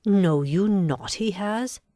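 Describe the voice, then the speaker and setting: A woman playing a ruthless, commanding noblewoman in a Shakespearean audio drama, with deliberate, goading delivery of the verse. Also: mid-range voice, warm tone, no room sound, studio quality, lightly acted. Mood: impatient.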